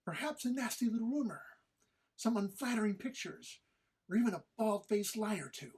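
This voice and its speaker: Immortal mentor, played by a woman for horror-comedy audio drama: husky, expressive, menacing, deliberate, scornful lecturer. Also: medium pitch. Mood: coolly menacing. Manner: stern, taunting, deliberate.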